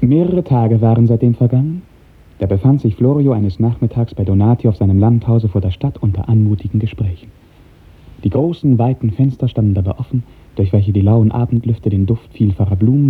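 A man reads literary narration for a 1950s radio drama. His voice is lowish and very husky, soft and breathy. He speaks earnestly and lyrically with careful diction, on a muffled, narrow old recording. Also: a little fast.

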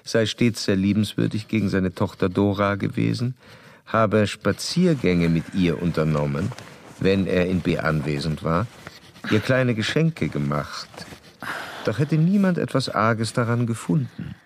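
Gravelly, low-voiced clinical doctor. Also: male voice, a little hiss or hum. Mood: clinical, detached. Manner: measured, clinical, formal. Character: composed, methodical male doctor recounting a case. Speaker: doctor and narrator. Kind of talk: formal scripted narration in radio drama.

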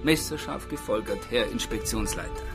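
A man playing a brisk chief of detectives in a radio drama, with a dry, formal, officious manner. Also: fairly low pitch, over music, natural voice. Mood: officious, sardonic. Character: brisk, dryly sarcastic male chief of detectives.

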